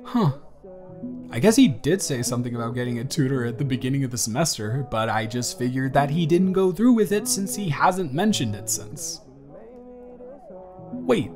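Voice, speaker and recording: A young man acting a wry, awkward but kind college student in an intimate, conversational roleplay audio, with a dry, teasing and hesitant delivery. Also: fairly high pitch. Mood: thoughtful, surprised. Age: young adult.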